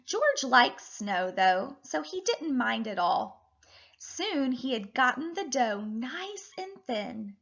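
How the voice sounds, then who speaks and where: A woman in her thirties, a teacher reading and talking to children in an animated, deliberate way. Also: high voice, creaky, dull audio. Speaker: teacher reading a picture book aloud to children. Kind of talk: expressive children's read-aloud and lesson.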